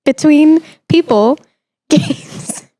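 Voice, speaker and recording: A woman's voice: high voice, extremely slow, mellow, a little hiss or hum. A woman hosting a podcast in casual, playful chat, with mock-indignant banter. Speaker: podcast host.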